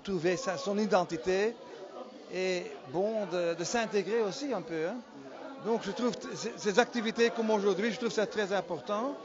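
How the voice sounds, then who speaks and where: A male entrepreneur with a Flemish accent, speaking thoughtfully and searching for words. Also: sits high. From Antwerp, Belgium. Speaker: entrepreneur, gala guest. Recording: muffled audio with crowd noise.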